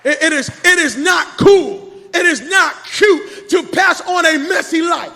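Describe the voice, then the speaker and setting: Pastor, male, high voice, rhythmic sing-song preaching, echoing hall. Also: a little slow, gravelly, bright, forceful tone, raised voice, some chatter behind. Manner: rhythmic preaching cadence, often half-sung. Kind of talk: sermon. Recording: echoey hall.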